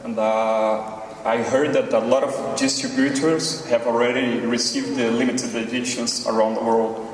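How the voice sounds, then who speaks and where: A man's voice: medium pitch, fairly even intonation, moderate pace, slight background noise. Nervous Brazilian-accented man in an echoey hall. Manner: nervous, hesitant. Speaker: audience member asking a question. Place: large convention hall. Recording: echoey hall audio from far off.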